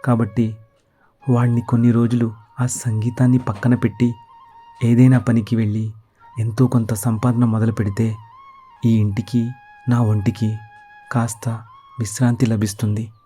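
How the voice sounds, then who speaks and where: Casting: male actor as tired older village dad, mellow and flat-toned, measured grumbler for a children's cartoon. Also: medium pitch, soft background music, close to an everyday voice. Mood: weary complaint. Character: weary, grumbling ageing village father and husband. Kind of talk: Telugu children's cartoon dialogue.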